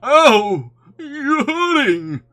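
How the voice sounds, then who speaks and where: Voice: medium pitch, big pitch swings, a little slow, pitched above the performer's own voice. A performer voicing a naive, good-natured little bear in a storybook, bumbling and exclaiming as it talks. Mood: distressed.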